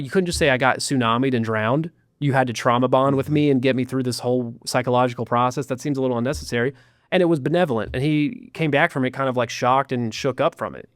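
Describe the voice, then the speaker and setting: A man recounting a story in casual podcast talk, animated, with sarcastic asides. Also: medium pitch, no echo, clean studio sound. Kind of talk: casual chat.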